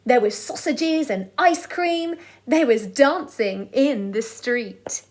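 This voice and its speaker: A woman reading aloud to children as a storyteller, in an expressive style. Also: a little high. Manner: deliberate, sing-song delivery of rhyming verse.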